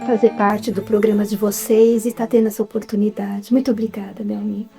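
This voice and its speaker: A middle-aged woman from Jundiaí with a São Paulo state accent, telling her story as a guest in a chatty, relaxed interview. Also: medium pitch, a little fast, gravelly, over music. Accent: Brazilian Portuguese, São Paulo state. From Jundiaí, Brazil. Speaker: guest, writer and actress. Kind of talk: casual interview.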